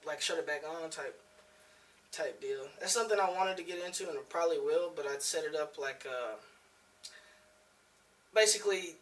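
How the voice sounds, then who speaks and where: A man with a fairly high, forceful, bright voice talks in loose, slangy casual chat. His first language is German, and he sounds like a podcast guest sitting a little way from the mic. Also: normal speed, pauses often, recorded from a distance, rough recording.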